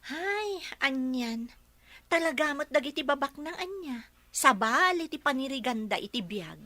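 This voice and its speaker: A woman's voice: sits high, animated, measured pace, sharp tone, dry recording, heavily acted. A woman acting an outspoken, indignant, disapproving friend in a radio drama. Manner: exclamatory, disapproving.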